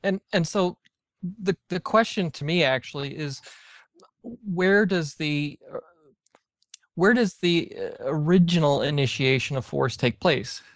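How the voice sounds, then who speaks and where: A man taking part in a discussion as a questioner, speaking in a hesitant, halting and careful way. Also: a little high, no room sound, clean studio sound. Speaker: book club participant asking questions. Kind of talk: conversational question to a guest.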